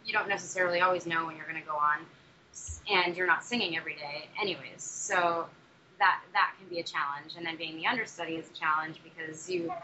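Bright-voiced young actress, chatty and muffled. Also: female voice, sits low, steady tone, fast. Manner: chatty, many fillers and restarts. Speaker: musical theatre actress, interview guest. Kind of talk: casual interview answers. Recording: dull muffled recording with faint noise.

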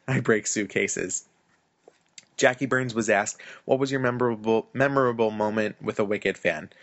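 Man, podcast host, scripted reading, lowish voice, dull dry sound. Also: no room sound. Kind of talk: scripted reading with light chuckles. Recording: slightly dull dry recording.

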